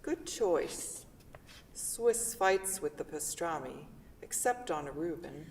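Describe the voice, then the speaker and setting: A woman acts out a dying mother in a stage play, stubborn and blunt, refusing to be told what to do. The voice sits a little low and comes from off in a reverberant hall with some noise behind it. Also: expressive, takes it slowly, creaky, a little mellow, heavily acted. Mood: casual. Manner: blunt, demanding. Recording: distant, echoey hall, background noise.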